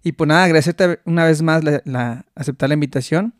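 A Mexican man hosts a relaxed podcast interview, chatty and quick to laugh. His voice sits a little high. The sound is close and dry, with no room around it. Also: no echo. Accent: Mexican Spanish. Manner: chatty, laughs often, many restarts. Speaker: podcast host. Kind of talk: casual interview chat.

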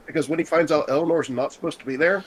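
A man's voice: fairly high pitch, steady tone, racing, slightly rough, low-quality recording. A man in a casual chat, patiently explaining ideas step by step.

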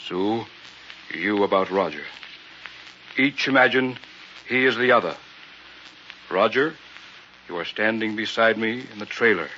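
A man's voice: lowish voice, measured pace, long pauses, pushed and bright. A domineering mad professor from a vintage radio thriller. He gives menacing orders in a hard, piercing voice with cold deliberation. The sound is dull, with some background noise. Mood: commanding. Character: cold, commanding escaped madman posing as a professor. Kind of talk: old radio horror acting.

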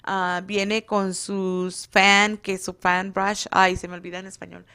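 A woman with a mid-range voice explains her school's training in a relaxed radio chat. She hesitates often and fills pauses while hunting for words. Her first language is Spanish. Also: medium pitch, clean tone. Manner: hesitant, many fillers, searches for words. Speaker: beauty school instructor. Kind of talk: casual radio interview.

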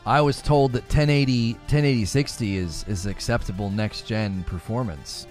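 A man's voice: medium pitch, moderate pace, darkish tone. A man hosts a casual livestream, rambling through stories with his co-host. He talks with plenty of animation. Faint music sits underneath. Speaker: streamer and show host.

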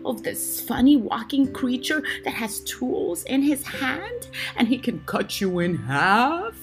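A woman's voice: on the high side, very animated, slow pace, music in the background. A woman voicing a young brother dolphin in a storybook, friendly, eager and chatty. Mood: spooked, gossipy. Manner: casual, chatty.

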